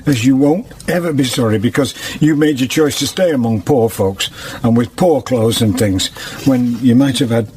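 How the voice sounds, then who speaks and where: A man's voice: medium pitch, normal speed, few pauses, darkish tone, slight background noise, lightly acted. An actor playing a humble, loving old weaver father in a radio drama, plain-spoken and earnest. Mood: concerned, tender.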